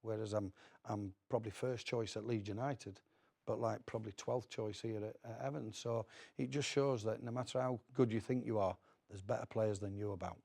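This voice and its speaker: A Northern English man in middle age speaks in a fairly deep voice with plenty of gravel. He reminisces casually as a studio guest, repeating words as he searches for the next one. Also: steady tone, a little fast, darkish tone. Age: middle-aged. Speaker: former footballer, studio guest.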